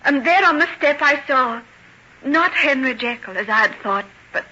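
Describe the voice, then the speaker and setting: An actress playing a terrified young woman in an old-time radio melodrama, tremulous and halting as she pleads to be believed. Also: lively intonation, bright, forceful tone, full character voice. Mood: horrified, haunted. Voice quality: high, tremulous voice. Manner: anguished, breathless, halting dramatic delivery. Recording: muffled, noisy vintage radio recording.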